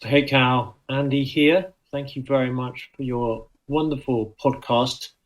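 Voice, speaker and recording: A man's voice: medium pitch, steady tone, unhurried, rough recording. A man leaving a short, polite recorded question for a podcast. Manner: polite, brief. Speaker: caller. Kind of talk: recorded voice message.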